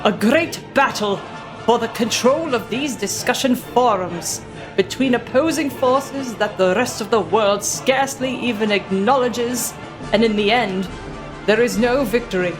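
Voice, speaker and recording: A woman giving a solemn, measured dramatic reading of a manifesto over choral music. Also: on the high side. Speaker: reader. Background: dramatic orchestral choral music.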